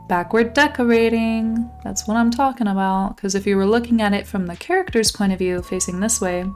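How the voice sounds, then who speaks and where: A woman's voice: mid-range voice, darkish tone. A young woman giving casual, gushing commentary while she tours a game island, her voice a little worn from losing it lately. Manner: bubbly, gushing, exclamatory. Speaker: channel host touring a game island.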